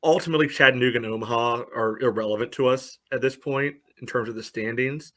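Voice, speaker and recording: A man's voice: medium pitch, quick pace, a bit bright. Male sports podcast co-host, conversational analysis, filler-heavy speech, dry sarcastic streak. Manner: says "you know" constantly as filler. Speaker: podcast co-host and soccer fan pundit.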